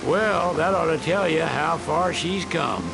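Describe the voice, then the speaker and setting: Game role: folksy old Southern US mechanic, creaky, unhurried delivery, wistful. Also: male voice, a little high, over loud music, poor audio. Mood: reflective. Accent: American South. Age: older. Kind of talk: video game cutscene dialogue.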